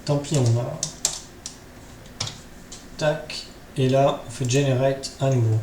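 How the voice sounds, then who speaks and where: A man's voice: mid-range voice, steady tone, takes it slowly, mellow. A man hosting a casual screen tutorial, improvising and thinking aloud with frequent tag questions. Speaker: tutorial host. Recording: roomy echo, faint background noise.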